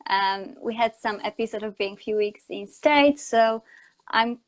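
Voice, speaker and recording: A woman with a fairly high voice and a Polish accent. She is a communication coach from Poland, a native Polish speaker, answering in a conversational interview style. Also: unhurried. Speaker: interview guest, communication coach and online educator.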